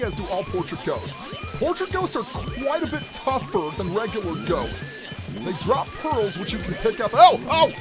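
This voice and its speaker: High-pitched, animated man doing game commentary. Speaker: let's player, host of the series. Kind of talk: video game let's play commentary, reading in-game text aloud.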